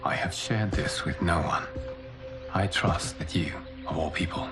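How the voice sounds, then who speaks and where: An actor playing a calm, wise protector god in a video game, speaking in a measured, formal, solemn way. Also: male voice, low-pitched. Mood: confiding. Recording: music behind voice.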